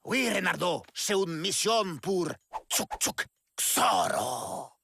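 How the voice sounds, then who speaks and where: Grandiloquent Mexican gentleman hero, creaky edges. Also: high voice, very animated, slow, full character voice. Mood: heroic. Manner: grandiloquent, pompous. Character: theatrical Mexican gentleman, secretly a masked avenger. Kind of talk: cartoon voice acting. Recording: music behind the voice.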